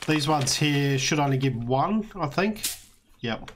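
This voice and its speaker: An Australian man, slightly hoarse, giving a relaxed walkthrough of a video game he is playing, with frequent fillers. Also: fairly high pitch, a little slow, a little hiss or hum. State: slightly hoarse, losing his voice. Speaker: let's play host. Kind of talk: casual gameplay walkthrough commentary.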